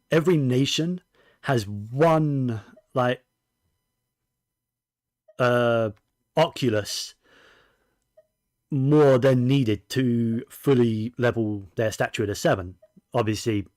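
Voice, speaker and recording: A man's voice: medium pitch, takes it slowly, pauses often, darkish tone, no room sound, clean studio sound. A man giving casual, rambling gaming commentary, often correcting himself as he goes. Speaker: game streamer.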